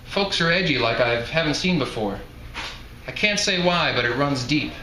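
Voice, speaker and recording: A man's voice: a little high, animated, moderate pace, lightly acted. A man voicing a chatty, blunt elderly woman bartender in a folksy manner while reading a novel aloud. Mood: folksy, confiding. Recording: echoey room, faint background noise.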